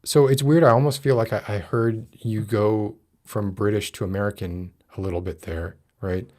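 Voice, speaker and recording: A man hosting a casual livestream interview, chatting with halting, hesitant phrasing. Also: lowish voice, fairly even intonation, a little mellow. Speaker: livestream podcast host.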